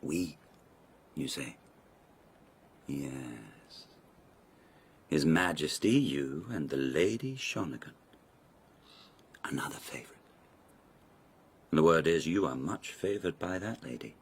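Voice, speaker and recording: A sardonic lord of an old Japanese court in a radio play. His voice is very low and husky. He speaks formally, with mocking, insinuating scorn. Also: expressive, slow, pauses often, full character voice. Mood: probing, suspicious. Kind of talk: radio drama acting.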